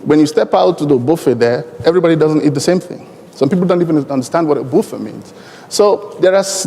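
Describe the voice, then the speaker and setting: A man with a Nigerian accent and Igbo as his native language, speaking deliberately and emphatically in elaborate formal phrases on a conference panel. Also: on the high side, warm tone. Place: large conference hall. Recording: long hall reverb from conference PA.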